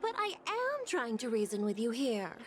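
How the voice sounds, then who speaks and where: A woman's voice: a little high, very animated, moderate pace, music in the background. A woman voicing an earnest, by-the-book port official in a video game, insistent and formal as she explains. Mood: exasperated. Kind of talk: animated video game voice acting.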